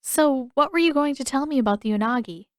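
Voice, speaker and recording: Woman as an earnest, guarded teenage girl, raised pitch. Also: fairly quick, natural voice. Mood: pleading, reassuring. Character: guarded, caring teenage girl, gently insistent. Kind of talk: audiobook character voice.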